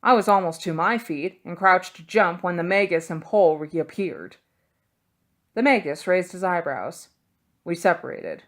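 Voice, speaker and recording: Lower-pitched woman narrating a story. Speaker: audiobook reader.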